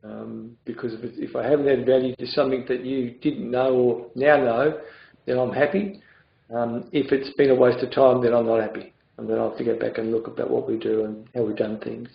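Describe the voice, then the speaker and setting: A middle-aged man with a raspy voice, giving an informal workshop in a blunt, conversational way. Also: mid-range voice, level tone, fairly quick. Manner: blunt, conversational, repeats words. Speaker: workshop presenter. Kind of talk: informal training talk. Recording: echoey room, dull lo-fi sound.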